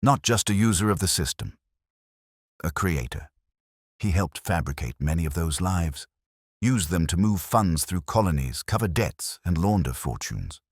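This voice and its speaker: Grave detective read by a male narrator: bottomless low voice, precise phrasing, dramatic rises and falls. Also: animated, normal speed, no echo, clean studio sound. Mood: grave, deliberate. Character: grave, incisive male detective, theatrically deliberate.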